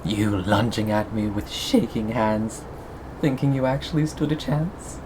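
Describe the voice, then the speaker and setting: A performer of unclear sex playing a sadistic, possessive cannibal captor in an ASMR roleplay, lingering on words with mock tenderness and soft menace. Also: medium pitch, a little slow, noisy background, natural voice. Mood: mocking, threatening. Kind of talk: ASMR roleplay, scripted monologue to listener.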